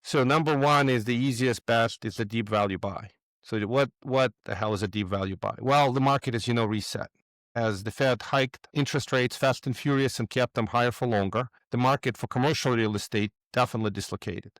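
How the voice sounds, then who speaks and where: Man, smooth and confident. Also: medium pitch, fairly quick, a bit bright, no room sound, clean studio sound. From Moldova. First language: Russian. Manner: confident, jokey, explanatory. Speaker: real estate investor guest. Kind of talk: podcast interview.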